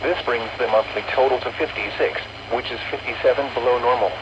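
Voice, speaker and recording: A computer-generated man's voice reading an automated weather report in an even, measured way. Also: mid-range voice, lo-fi sound. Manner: even, measured synthesized delivery. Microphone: captured off an over-the-air radio receiver. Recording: hissy distant weather radio reception.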